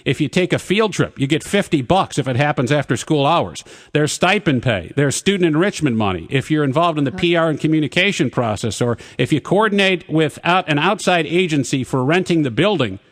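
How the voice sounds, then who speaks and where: A man's voice: on the high side, animated. Pushy male talk radio host. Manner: pushy, interrupts guests; reads contract terms off in a rapid list. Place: radio studio. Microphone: studio broadcast mic.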